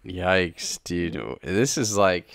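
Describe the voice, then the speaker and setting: Young male co-host, clean low tone, informal slangy reactions. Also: lively intonation, extremely slow, gravelly, fairly bright tone, smooth, no room sound, clean studio sound. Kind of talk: casual reaction chat.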